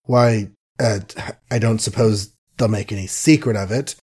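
Kindly, awkward gentleman for a novel, done by a male reader: gentle, hesitant, medium-pitched. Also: normal speed, lightly acted. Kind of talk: audiobook character acting.

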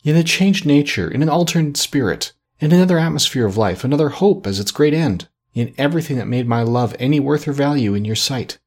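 Man voicing a gentle sorrowful young woman, lifted pitch. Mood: mournful, steady. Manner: earnest, measured, steady reproach. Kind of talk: audiobook character reading.

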